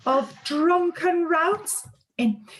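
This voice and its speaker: A woman's voice: very high-pitched, lively intonation, drawn-out words, a bit bright, heavily acted. A woman playing a gossipy middle-aged aunt in a period radio play, scandalised and relishing each word.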